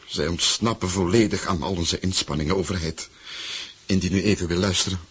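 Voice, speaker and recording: A man's voice: deep voice, fairly even intonation, a little fast, a bit bright, a little hiss or hum. A man playing an obsequious, flustered government aide in a satirical radio play, stammering deferentially as he fussily reports to his superior. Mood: apologetic.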